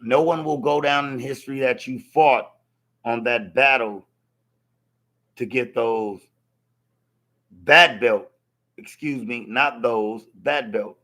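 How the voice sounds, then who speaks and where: A man with a hoarse voice from yelling, ranting bluntly to camera about a boxing match and swearing freely. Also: mid-range voice, slow pace, long pauses. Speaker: vlogger reacting to a boxing match. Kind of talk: casual rant to camera.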